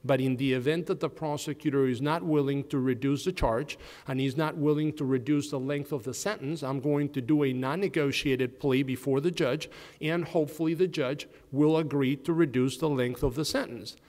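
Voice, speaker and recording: A man's voice: mid-range voice, steady tone, normal speed, darkish tone, in a large hall. A man with a noticeable non-Southern accent, a defense attorney speaking earnestly on a conference panel, posing questions and answering them himself. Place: law school event hall.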